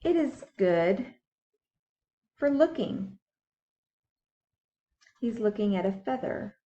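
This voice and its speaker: A woman's voice: mid-range voice, lively intonation, takes it slowly, warm tone, dull audio. A woman teacher reading a science book aloud for young children, explaining things simply and putting questions to her listeners. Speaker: school teacher.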